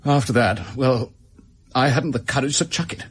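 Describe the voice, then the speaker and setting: An actor as a husky, desperate Englishman confessing. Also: mid-range voice, moderate pace, natural voice. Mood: bitter, desperate. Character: desperate, bitter male office worker confessing to murder. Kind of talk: radio drama acting.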